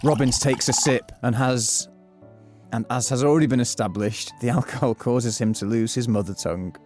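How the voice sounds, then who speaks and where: Male narrator, deadpan, measured, average pitch, scripted comic drama, faint music behind. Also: lightly acted. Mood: deadpan narration.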